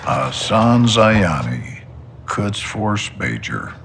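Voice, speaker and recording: An actor playing a gruff, blunt, middle-aged American army general who gives orders and swears freely in a cinematic military game. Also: male voice, on the low side, sing-song, extremely slow, noisy background, heavily acted. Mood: gruff, probing. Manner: blunt, commanding, profane.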